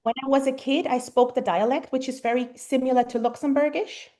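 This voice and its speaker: A German-born woman in her thirties, a native German speaker, answering interview questions thoughtfully with frequent pauses and ums. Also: high-pitched, fairly even intonation, warm tone. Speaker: guest mother. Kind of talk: casual interview conversation.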